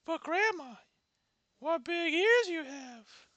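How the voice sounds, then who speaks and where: A man voicing an innocent young girl in a high falsetto. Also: animated, takes it slowly, pushed and bright, no echo, exaggerated voice. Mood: naive.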